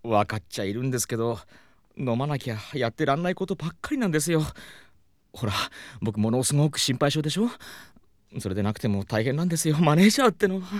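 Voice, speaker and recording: A man's voice: mid-range voice, quick pace, no echo, clean studio sound, lightly acted. A man playing a polite, worry-prone young talent manager who gets whiny and flustered, in a comedic audio drama. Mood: apologetic, glum. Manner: polite, anxious, excitable.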